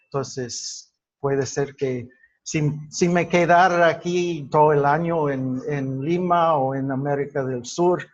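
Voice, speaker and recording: A man lecturing a class at a very slow, deliberate pace, pausing often with fillers and restarting his words. His first language is English. The recording sounds dull and lo-fi. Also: on the high side, fairly even intonation. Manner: halting, many fillers and restarts. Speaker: teacher.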